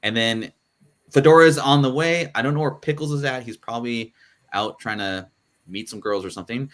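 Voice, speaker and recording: A man's voice: mid-range voice, brisk, a bit bright. A man hosting a live podcast panel, talking loosely with lots of fillers and restarts. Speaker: podcast host. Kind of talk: casual live panel chat.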